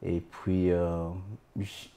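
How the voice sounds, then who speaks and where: A man from Nigeria, Idoma by first language, answers as a guest priest in a studio. His voice is low and mellow, and he speaks slowly. He pauses often with "euh" as he builds each answer. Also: little pitch movement, vocal fry. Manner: halting, with frequent "euh" pauses. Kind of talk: interview answers.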